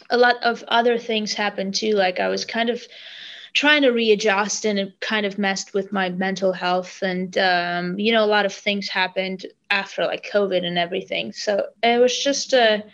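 A young woman from Armenia talks in an interview, her first language Armenian, pausing and restarting often as she reaches for the right word. Her pitch sits mid-range for a woman. The sound is a little dull. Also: vocal fry, a bit bright. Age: young adult. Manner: halting, searching for words, many restarts. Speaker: singer. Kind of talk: casual interview.

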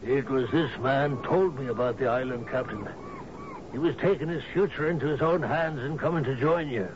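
An actor playing a polite, deferential gentleman newcomer in a radio drama, courteous and well-spoken. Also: medium pitch, moderate pace, natural voice. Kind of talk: old-time radio drama acting. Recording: muffled old radio broadcast, clear noise.

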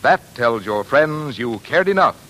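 A man's voice: medium pitch, sing-song, a little slow. An American man reading as a polished, earnest radio announcer in scripted 1940s commercial style. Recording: 1940s radio broadcast, faint noise.

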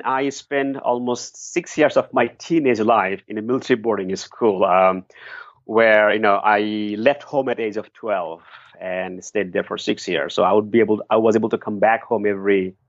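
Middle-aged guy, earnest reflective telling, lowish voice, dry muffled sound. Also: no room sound. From Bangladesh. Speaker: guest, nonprofit founder. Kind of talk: interview answers.

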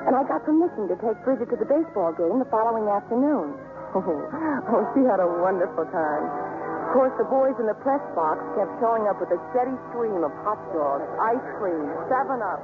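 An actress playing a brisk, chatty, opinionated newspaper columnist in a 1950s radio drama. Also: female voice, mid-range voice, animated, lightly acted. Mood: wry narrating. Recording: muffled 1950s radio broadcast, music behind.

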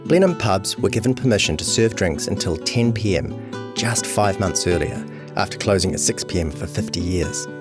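A man's voice: deep voice, expressive, normal speed. A man reading a scripted true crime long-read as narrator. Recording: music behind voice. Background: guitar music.

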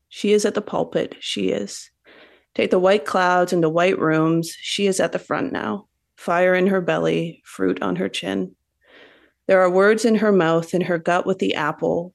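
A woman's voice: on the low side, vocal fry. A woman, a writer speaking thoughtfully as a guest. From Canada. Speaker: poet and novelist guest. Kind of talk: thoughtful interview talk and poetry reading.

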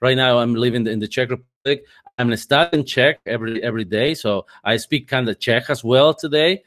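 Man, 40s, native Spanish speaker, halting English with repeated words, remote interview guest, medium-pitched voice. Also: fairly bright tone. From Spanish-speaking country. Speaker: guest, head of communications. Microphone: remote call microphone.

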